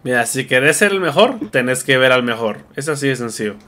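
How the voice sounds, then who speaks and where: A man's voice: medium pitch, lively intonation, fairly quick, bright, forceful tone, a little hiss or hum. A young man streaming a shooter game and joking with friends over a headset, putting on a playful Argentine-style voice. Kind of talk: casual gaming chat with friends.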